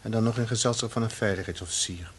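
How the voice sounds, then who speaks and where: An actor playing a stern, cornered security official in an old, faintly noisy Dutch radio play. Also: male voice, fairly low pitch, quick pace, natural voice. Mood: stern, tense. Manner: curt, formal, defensive.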